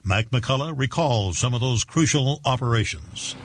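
A man reading scripted documentary narration in a husky voice. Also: mid-range voice, lively intonation, moderate pace, no echo.

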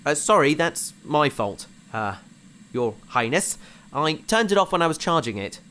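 An actor playing an earnest, excitable researcher on a spaceship crew in a sci-fi audio drama, with a gentle, bookish manner. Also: mid-range voice, normal speed. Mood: eager, flustered.

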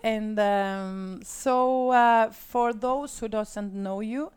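A woman's voice: fairly high pitch, darkish tone, clear, resonant tone. A woman from Sweden hosting an interview in a studio, speaking hesitantly with many "uh"s and encouraging murmurs. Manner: slow, hesitant, frequent "uh" and "mm-hmm". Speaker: interview host.

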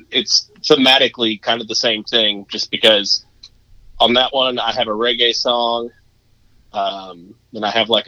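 A man with a naturally low voice chats loosely as an interview guest, rambling and self-deprecating. His tone is bright and tense. The recording is dry and muffled. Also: no room sound. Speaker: musician guest. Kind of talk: casual interview chat.

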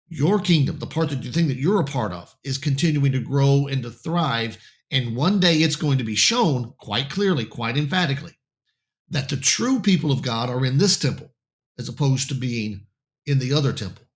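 A man of middling pitch teaches scripture on air, his voice slightly raspy and hoarse. He talks in halting bursts, repeating words as he restarts, and often checks in with a quick "okay?". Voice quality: raspy voice in the morning. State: slightly hoarse, clearing throat. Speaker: Bible teacher. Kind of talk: verse-by-verse Bible teaching on air.

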